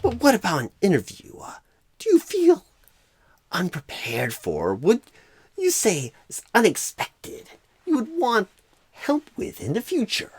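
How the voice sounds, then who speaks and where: Man doing a halting, swooping clueless recruiter. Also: sits high, wildly swooping pitch, darkish tone, a little hiss or hum, heavily acted. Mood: probing, rambling. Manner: halting phrasing with odd pauses and swooping stresses. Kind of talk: ASMR roleplay.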